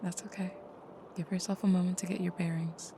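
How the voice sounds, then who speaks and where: A woman playing an intimate vampire girlfriend in an audio roleplay, speaking softly and often dropping into a whisper. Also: on the low side, little pitch movement, a little fast, noisy background, lightly acted. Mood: tender, soothing. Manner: soft, breathy murmuring, often whispered, soothing. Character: female vampire girlfriend, protective and tender, menacing in nightmare.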